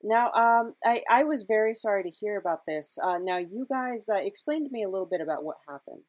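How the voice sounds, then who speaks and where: A woman's voice: mid-range voice, lively intonation, a little mellow, no echo. A woman hosting a casual podcast interview, feeling her way through questions with many false starts. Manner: halting, hesitant phrasing with repeated false starts.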